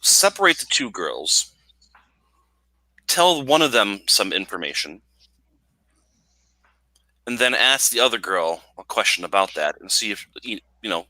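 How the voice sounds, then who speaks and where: A low-pitched man walks the table through his plan with earnest care. His tone is strikingly bright and forceful, recorded close and dry. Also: very animated, a little slow, long pauses, no room sound, rough recording. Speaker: player. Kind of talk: tabletop game discussion.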